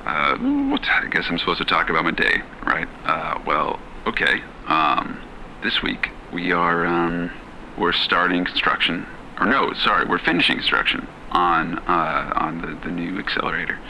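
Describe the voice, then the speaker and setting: Low, sharp-edged, reluctant diarist. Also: male voice, sing-song, vocal fry, a little hiss or hum, exaggerated voice, poor audio. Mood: awkward, reluctant. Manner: awkward, halting, dismissive. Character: reluctant, jaded working man recording a personal journal. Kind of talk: improvised-sounding audio diary in horror game.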